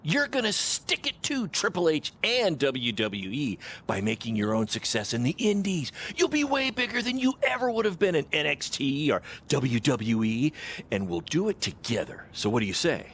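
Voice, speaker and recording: A scheming, upbeat wrestling promoter pitches like a born salesman. His delivery is lively and swooping, sitting on the high side. It is theatrical video game acting, with faint noise behind it. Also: normal speed. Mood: excited, persuasive. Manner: salesman-like, self-satisfied, theatrical. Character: brash, scheming indie wrestling promoter, relentlessly upbeat hype man.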